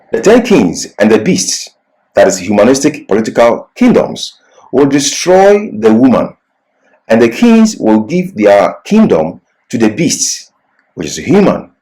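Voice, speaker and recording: A man's voice: mid-range voice, big pitch swings, unhurried, pauses often, mellow, low-quality recording. A man giving an expository Bible lecture as a teacher, didactic and leaning on key words.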